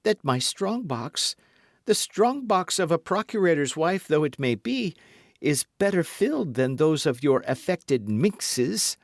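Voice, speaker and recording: A man's voice: fairly high pitch, sing-song, a little slow, no room sound, clean studio sound, voice pushed up. A man putting on a proud lawyer's wife. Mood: piqued. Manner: piqued, pleading. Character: proud, anxious lawyer's wife, middle-aged woman. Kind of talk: audiobook character reading.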